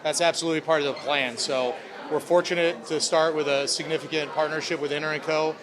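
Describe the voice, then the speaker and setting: A man's voice: fairly high pitch, steady tone, fairly quick, a crowd in the background. A man speaking as a club president, answering reporters' questions in upbeat corporate language. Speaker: sports club president at a press conference.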